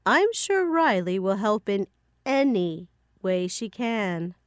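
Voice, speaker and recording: A woman's voice: mid-range voice, big pitch swings, slow, smooth, no echo, studio quality. An actress playing a strict, disapproving mother of a teenager in an audio drama, emphatic and scolding. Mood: pleasant.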